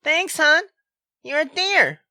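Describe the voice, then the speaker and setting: A woman voicing a drunk, bossy, affectionate young woman from a visual novel, rambling and slangy with halting phrases. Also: high voice, sing-song, pushed and bright, no room sound, studio quality, voice pushed up, exaggerated voice. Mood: tipsy, affectionate.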